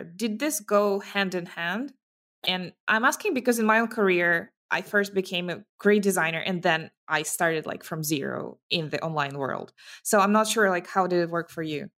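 A woman hosting a podcast interview, upbeat, with questions that trail off softly at the end. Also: fairly low pitch. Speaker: podcast host.

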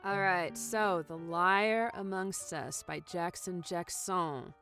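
An actress playing a peppy, overenthusiastic amateur book podcast co-host, emphatic and playful in casual improvised comedy. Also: female voice, lowish voice, takes it slowly, music in the background. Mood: hyped, emphatic.

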